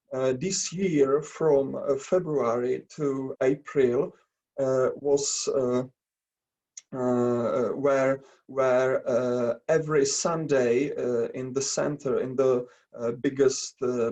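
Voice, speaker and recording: A man from the Czech Republic with a Czech accent, presenting slides in a webinar in halting English full of pauses and restarts. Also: mid-range voice, a little mellow, poor audio. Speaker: webinar presenter, nursing educator. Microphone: video call.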